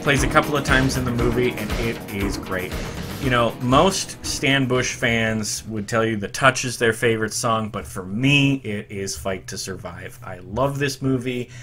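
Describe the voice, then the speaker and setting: A man's voice: medium pitch, unhurried, pushed and bright, faint music behind. A man in his thirties hosting a podcast from a room at home, talking about movie soundtracks as an eager, emphatic fan. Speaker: podcast host. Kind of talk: enthusiastic retro music commentary.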